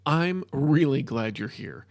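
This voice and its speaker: Man acting excitable ranting modern wizard Jeff. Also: mid-range voice, takes it slowly, creaky, dry recording, clean studio sound. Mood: eager. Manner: emphatic, informal lecturing. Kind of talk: storybook character dialogue.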